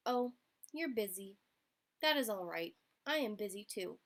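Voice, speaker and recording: A woman's voice: mid-range voice, lively intonation, measured pace. A woman voicing a small, earnest male seed in a children's storybook, polite, curious and a little unsure.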